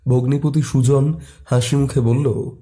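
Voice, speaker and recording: A man's voice: mid-range voice, level tone, measured pace, warm tone. A man narrating a scripted horror story in a dramatic storytelling style.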